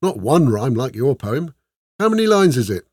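A man voicing a chatty, excitable male thief who rambles eagerly in colloquial speech. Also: mid-range voice, a little fast, dry recording, clean studio sound, pitched above the performer's own voice, lightly acted.

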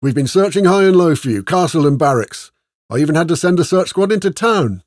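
A man voicing a loud, grumbling army sergeant with rough grammar and a barking manner in an audiobook. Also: mid-range voice, steady tone, a little fast, no echo, studio quality, close to an everyday voice. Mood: roaring anger. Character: bellowing, grumbling male army sergeant, rough-spoken bully.

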